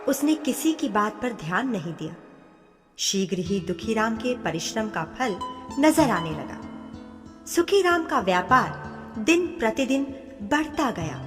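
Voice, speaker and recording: A woman narrating a scripted moral story in an expressive storytelling manner. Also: medium pitch. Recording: long hall reverb, faint music.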